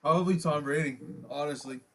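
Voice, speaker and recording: A man's voice: a little high, a little fast, fairly bright tone, a little hiss or hum, rough recording. A man in his mid-twenties co-hosting a casual sports chat, eagerly bringing up old games and stats. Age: 20s. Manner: eager, quotes stats and game history. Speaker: podcast co-host.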